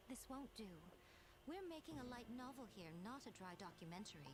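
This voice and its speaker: A woman's voice: a little high, big pitch swings, normal speed, background noise. A woman playing an imperious, playful fox shrine maiden and editor in a game trailer, poised and teasing. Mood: haughty, teasing. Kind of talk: scripted game trailer acting. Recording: game trailer played back over music.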